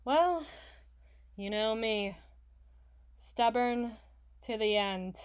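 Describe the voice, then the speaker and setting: A brash villain woman voiced by an actress in an ASMR roleplay, with a fairly high voice that swings widely in pitch. She blusters, swears and needles her partner with sarcasm, stubborn and hot-tempered but gruffly soft underneath. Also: lively intonation, very slow. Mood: gruffly softened.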